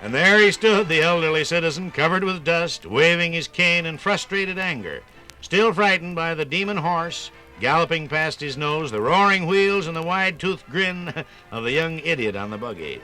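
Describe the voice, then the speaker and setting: A man narrating a scripted 1950s radio program in a theatrical, folksy storytelling manner with drawn-out emphasis. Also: fairly high pitch, animated, bright, forceful tone, soft background music, poor audio.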